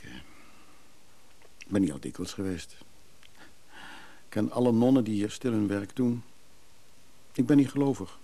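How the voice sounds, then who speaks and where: An actor playing a weary, bereaved middle-aged traveling businessman in a radio drama, speaking in a husky, hesitant, reflective way. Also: on the low side, warm tone, heavily acted. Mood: grave, confiding. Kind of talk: naturalistic Dutch radio drama acting.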